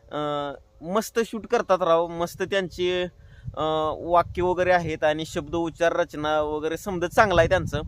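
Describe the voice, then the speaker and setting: A man with a rural Maharashtrian accent, chatting colloquially and directly to viewers as host of a prank-call channel. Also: high voice. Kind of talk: casual chatty vlog narration.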